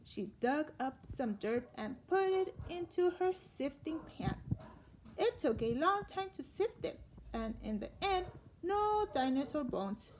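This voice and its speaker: A woman teacher reading a children's story aloud in an expressive storytelling manner. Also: high voice, unhurried. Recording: dull lo-fi sound with light noise.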